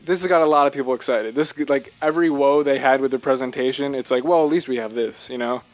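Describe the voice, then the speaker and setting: A man's voice: mid-range voice, fast talker. Enthusiastic man co-hosting over music. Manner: enthusiastic, emphatic fan talk. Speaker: podcast co-host. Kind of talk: casual video game discussion.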